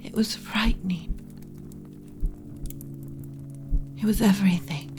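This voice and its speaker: A woman's voice: medium pitch, fairly quick, close to an everyday voice. A woman playing an ancient, yearning undead lich queen in an intimate, whispery ASMR romance roleplay, speaking close to the mic with solemn, formal drama. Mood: awed, enthralled. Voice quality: breathy, whispery. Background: music.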